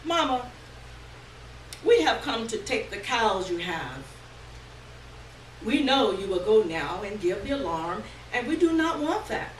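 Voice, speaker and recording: A woman's voice: mid-range voice, sing-song, measured pace, pauses often. A woman voicing a menacing, impatient male cattle raider with a commanding, threatening manner in a dramatic story reading. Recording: distant roomy live recording, faint noise.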